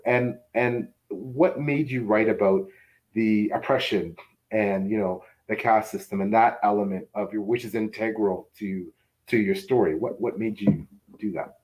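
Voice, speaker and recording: Low-voiced man asking rambling questions. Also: a little mellow, rough recording. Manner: rambling, hesitant, many fillers. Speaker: podcast co-host. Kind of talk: casual interview questions.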